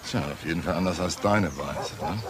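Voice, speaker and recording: An actor playing an evasive, sardonic lone-wolf police detective in a radio crime drama, with a casual, needling manner. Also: male voice, sits low, brisk, natural voice. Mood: defiant. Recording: clear background noise.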